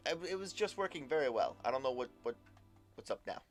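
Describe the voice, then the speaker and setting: Male game streamer, mid-pitched voice, casual and halting commentary, faint music behind. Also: big pitch swings. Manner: halting, repeats words, mild exclamations. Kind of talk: casual livestream chat and commentary.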